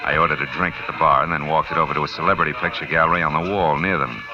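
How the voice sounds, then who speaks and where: An actor playing a tough, wisecracking private detective who narrates in 1950s radio noir style, sardonic and terse. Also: male voice, a bass voice, fairly even intonation, rapid-fire. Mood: wry storytelling. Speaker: narrator and lead character. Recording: dull old radio recording with music.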